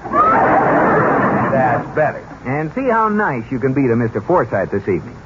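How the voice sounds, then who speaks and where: An actor playing a bossy, exasperated American banker husband in a 1940s radio sitcom, scolding and emphatic. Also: male voice, medium pitch, huge pitch swings, unhurried, over a noisy crowd. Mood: lecturing. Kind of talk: 1940s radio comedy acting. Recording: muffled, noisy old radio recording.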